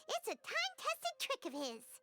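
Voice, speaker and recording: A woman voicing a tiny, childlike fairy companion in a video game, squeaky, excitable and expressive. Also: vocal fry, exaggerated voice. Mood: amazed, chatty. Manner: refers to self in third person, expressive.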